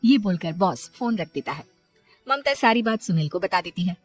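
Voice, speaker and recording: A woman's voice: on the low side, expressive, normal speed, soft background music. A woman narrator telling a cartoon moral tale in scripted storytelling style.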